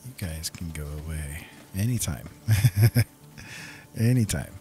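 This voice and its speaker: A man's voice: low-pitched, animated, takes it slowly, mellow, faint music behind. A man giving casual, easygoing commentary on his own gameplay, talking to himself as he plays.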